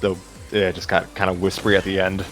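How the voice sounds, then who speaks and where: A man's voice: deep voice, completely flat delivery, quick pace, a bit bright, soft background music. A man chatting casually as one of the voice readers in a live story read-along. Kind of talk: casual chat.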